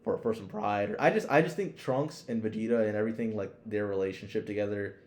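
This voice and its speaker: A man's voice: on the low side, fast talker. A young man chatting casually as a podcast co-host, giving emphatic fan opinions full of slang and swearing.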